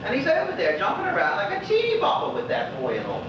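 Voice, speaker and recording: Man acting a high-voiced, chatty old Bermudian widower. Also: wildly swooping pitch, fast. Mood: amazed. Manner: rambling, exclaiming, talking to unseen listeners. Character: lonely old widower, chatty, gossipy, folksy. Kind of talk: stage monologue in dialect. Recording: very echoey stage, distant mic, noisy, dull.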